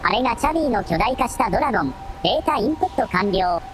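A synthetic female voice playing a calm, matter-of-fact AI assistant in a radio drama, reporting in clipped phrases. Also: a little high, fast, soft background music, natural voice.

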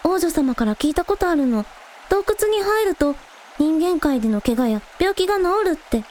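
A woman's voice: sits high, expressive, moderate pace, darkish tone, slight background noise. A woman playing an earnest, decisive younger sister, a young girl, in a radio drama. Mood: worried, urgent.

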